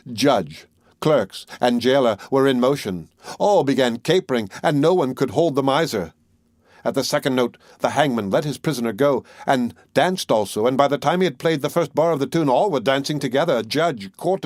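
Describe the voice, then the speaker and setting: A man's voice: medium pitch, a little mellow, no room sound, clean studio sound. A man reading a fairy tale as a scripted audiobook narration.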